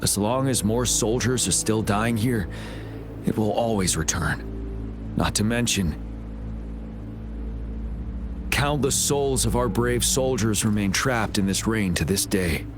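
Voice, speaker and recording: Video game general, male actor: lowish register, stern, measured, courteous military formality, over a clear music bed. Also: close to an everyday voice. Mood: grave, solemn. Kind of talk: scripted video game cutscene acting. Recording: music behind the voice. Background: game soundtrack music.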